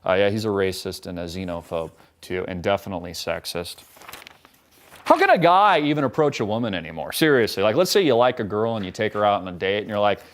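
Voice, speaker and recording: A man's voice: on the low side, very animated, fast. A man hosting a show, delivering a sarcastic, profane, mocking rant as a monologue. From United States. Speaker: show host. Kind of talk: ranting political monologue.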